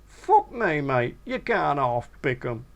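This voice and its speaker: A man's voice: on the high side, sing-song, takes it slowly, vocal fry, heavily acted. A man voicing a crude, cheerfully blunt laddish mate in a comic short story. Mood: amused disbelief.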